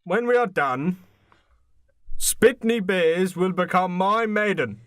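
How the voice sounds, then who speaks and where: A man's voice: sits high, animated, takes it slowly, a bit bright, no room sound, clean studio sound, voice pushed up, full character voice. A man playing a doddery, pompous, lewd immortal old mayor who rambles and repeats himself indignantly in a comic radio play. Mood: smug, lewd.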